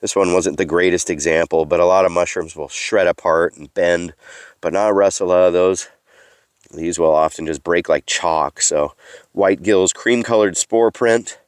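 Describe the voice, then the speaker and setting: A man's voice: sits low, steady tone, normal speed, dry recording, studio quality. A man with a stuffy nose, a mycology educator and host, chatting outdoors in a forest as he explains what he finds, with frequent fillers. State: stuffy from allergies. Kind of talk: casual outdoor teaching vlog.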